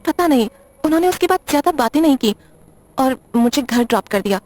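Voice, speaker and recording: A woman's voice: high-pitched, lively intonation, brisk, a little mellow, no echo. A woman playing a nervous, apologetic young librarian in an audio drama, hesitant, stammering and defensive. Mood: troubled, explaining. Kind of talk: Hindi audio drama acting.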